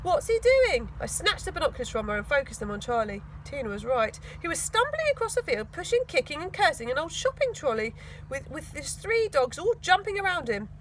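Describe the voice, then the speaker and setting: Englishwoman, children's storybook narration, high-pitched, highly animated, dramatic emphasis, background noise. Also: fairly quick. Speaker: school teaching assistant reading a children's book.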